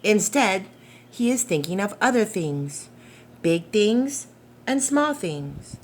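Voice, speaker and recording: A woman reading a picture book aloud to young children in a gentle, deliberate, expressive way, with questions put to the listeners. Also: medium pitch, slight background noise. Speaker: storytime reader for preschoolers.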